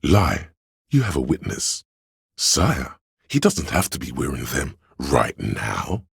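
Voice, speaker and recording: A very deep, gravelly theatrical courtier. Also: male voice, huge pitch swings, takes it slowly, no room sound, clean studio sound, heavily acted. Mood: sly, urging. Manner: theatrical, courtly, archly dramatic. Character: flamboyant, sly male inner voice of drama, servile courtier. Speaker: game voice actor. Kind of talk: theatrical video game character lines.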